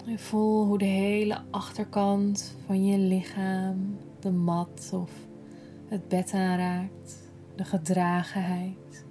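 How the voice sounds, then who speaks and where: Woman doing guided meditation, really slow and even, gentle and soothing, faint music behind her. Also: medium pitch. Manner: soothing, unhurried, gentle, breathy at times. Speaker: yoga nidra guide.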